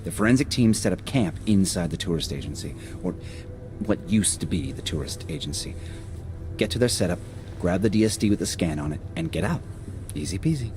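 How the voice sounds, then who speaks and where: Chatty low-voiced nervy investigator. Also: male voice, lively intonation, a little fast, over music. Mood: sly, coaxing. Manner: wry, rambling, hesitant. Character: chatty, cheeky, nervy male forensic investigator. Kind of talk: video game cutscene acting.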